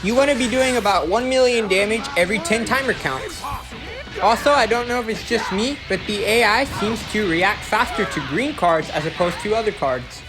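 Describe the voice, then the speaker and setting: A game guide narrator explaining tactics step by step in an instructional way. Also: medium pitch. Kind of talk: gameplay guide commentary. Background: music.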